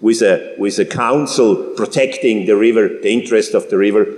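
A man's voice: lowish voice, darkish tone. Guest speaker, man, fifties, from Switzerland; hesitant, restarts often; big reverberant hall. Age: 50s. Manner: halting, many restarts and fillers. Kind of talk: public talk. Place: large church hall. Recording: roomy hall with long reverb.

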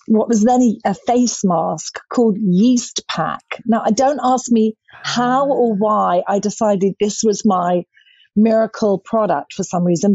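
A woman in her sixties, a guest telling lively stories from her childhood over a video call. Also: mid-range voice, breathy edge, dry recording. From Scotland and southern England. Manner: lively, expressive, gestures a lot with her hands. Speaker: skincare brand founder, guest. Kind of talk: storytelling interview answers. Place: bright living room at home. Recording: dry, slightly dull video-call audio.

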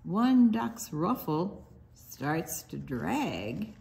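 An older woman reads a picture book aloud for young children. Her pace is extremely slow and deliberate, and her pitch swings widely in a sing-song storytime delivery. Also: very animated, creaky, a little mellow, a little hiss or hum. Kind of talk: expressive children's read-aloud with asides.

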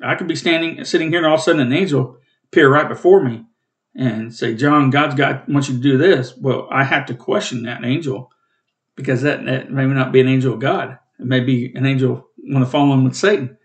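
A man in his late fifties with a rough, coughing throat, giving a rambling, plainspoken Bible study from home over a webcam. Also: mid-range voice, steady tone, brisk. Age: 50s. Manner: rambling, plainspoken, self-corrects. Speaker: Bible teacher and channel host. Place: small room at home, indoors.

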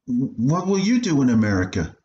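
A man's voice: medium pitch, big pitch swings, brisk, mellow, reverberant, dull audio, natural voice. A man voicing a timid, stammering young schoolboy in a radio play. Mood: hesitant, hopeful. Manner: nervous, stammering. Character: timid young schoolboy answering his stern mother.

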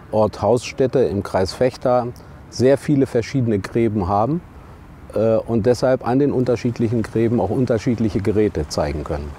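Older man, very dark mellow voice, outdoors. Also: lowish voice. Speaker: agricultural chamber spokesman being interviewed. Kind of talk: interview explanation. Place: outdoors at a machinery fair field. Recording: faint outdoor machinery and ambient noise. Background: faint vehicle and outdoor noise.

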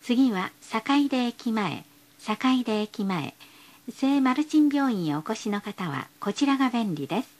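Female transit announcer: high voice, very melodic intonation, polite and formal, precise enunciation for scripted stop calls. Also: big pitch swings, a little slow, darkish tone. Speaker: bus announcer.

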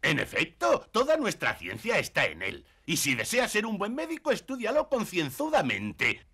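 An actor playing a jovial, wise elderly gnome uncle in a cartoon. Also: male voice, sits high, huge pitch swings, normal speed, bright, forceful tone, husky, heavily acted. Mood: earnest, wise. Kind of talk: Spanish-language cartoon dub acting.

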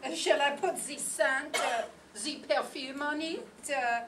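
A woman's voice: high-pitched, a little slow, a crowd in the background. A woman playing a polite, attentive French lady's maid with a French accent in an amateur radio play. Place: meeting room stage before an audience. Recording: echoey room, distant noisy pickup.

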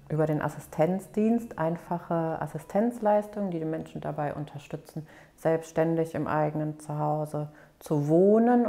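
Woman explaining services on camera; low, soft-toned voice with music underneath. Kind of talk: explanatory interview for a promotional film. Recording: music behind the voice.

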